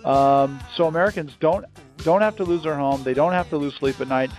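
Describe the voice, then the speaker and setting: Salesy, higher-pitched man pitching over music. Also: fairly quick, a little mellow. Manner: salesy, emphatic, repeats phrases for effect. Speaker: debt relief company founder giving a sales pitch as guest. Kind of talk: promotional interview on a conference call.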